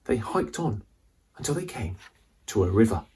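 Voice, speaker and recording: A man reading a children's adventure story aloud as a dramatic, suspenseful narrator with deliberate delivery. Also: on the low side, very animated, dark tone, husky.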